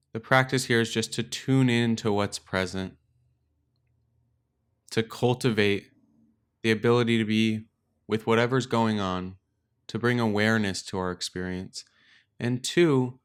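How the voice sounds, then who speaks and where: A man leading a guided meditation as a teacher, speaking in a calm, gentle, unhurried way with pauses. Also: mid-range voice, clean tone. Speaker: meditation teacher and podcast host. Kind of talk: guided meditation, slow and instructional.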